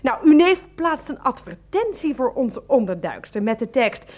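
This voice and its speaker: Exasperated wife, high voice, swooping intonation, sarcastic, biting, radio drama. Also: female voice, sing-song, normal speed, a little mellow. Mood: indignant, mocking. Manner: biting, sardonic. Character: sarcastic, exasperated wife exposing her husband. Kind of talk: radio play dialogue.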